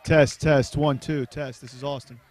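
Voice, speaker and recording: A man's voice: mid-range voice, steady tone, normal speed, a little mellow, a faint crowd, slight background noise. A young man doing a casual mic check as a sideline reporter at a stadium. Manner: casual, offhand.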